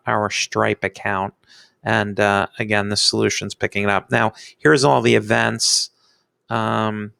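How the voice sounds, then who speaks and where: A man giving a casual screencast software review in an informal, halting but enthusiastic way. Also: lowish voice, vocal fry, dry recording. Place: indoors, screen-recording voice-over.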